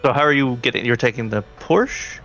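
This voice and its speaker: Gravelly male game master over music. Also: mid-range voice, fairly quick, fairly bright tone, poor audio. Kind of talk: narrating a tabletop roleplaying game. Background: background music.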